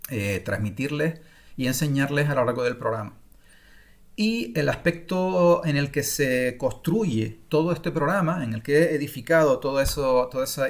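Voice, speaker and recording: A middle-aged man from Spain gives an unscripted online masterclass on learning languages, often pausing with fillers and restarting his sentences. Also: medium pitch. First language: Spanish. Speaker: language-learning course creator.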